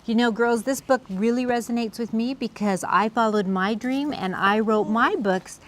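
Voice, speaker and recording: A woman's voice: on the high side, normal speed, fairly bright tone. A woman hosting a children's read-aloud, telling a picture-book story expressively to young viewers.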